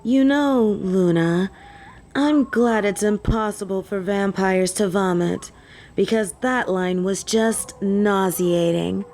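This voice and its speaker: A woman's voice: slow, a little mellow, slight background noise. A woman voicing a haughty, jealous female vampire in a roleplay audio drama, snippy, indignant and easily flustered. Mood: disgusted. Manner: snippy, indignant, higher-pitched.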